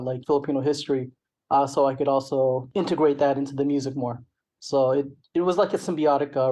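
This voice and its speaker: A man's voice: mid-range voice, mellow. A middle-aged man with a Canadian accent talking casually as an interview guest, rambling with many "like" and "you know" asides. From Toronto, Canada. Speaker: interview guest, rapper and songwriter.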